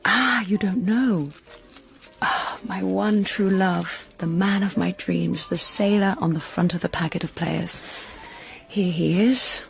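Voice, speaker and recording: Wistful young romantic woman for radio drama: dreamy, theatrical, fry in the voice, light music bed. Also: medium pitch, slow pace. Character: romantic, wistful young woman, stage performer with smuggler brother. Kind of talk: intimate radio drama acting.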